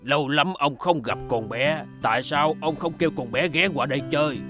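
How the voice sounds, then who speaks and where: A man voicing a calm, consoling male friend in a children's cartoon dub, gentle and reassuring. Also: fairly high pitch, normal speed, lightly acted. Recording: music under the voice.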